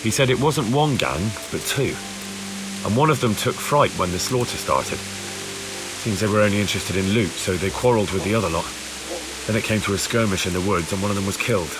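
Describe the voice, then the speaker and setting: Man as an earnest young peasant soldier, low voice. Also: fairly quick, over music, lightly acted, rough recording. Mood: earnest, reporting. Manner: earnest, explaining. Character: earnest young peasant man-at-arms, respectful and plain-spoken. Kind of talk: video game cutscene acting.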